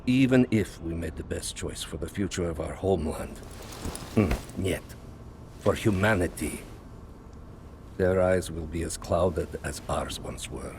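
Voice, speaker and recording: An actor playing a cold, calculating Soviet spymaster with a measured, menacing delivery in a video game cutscene. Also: male voice, low voice, noisy background. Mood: calm, satisfied. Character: cold, calculating Soviet spymaster, a man who plots patiently.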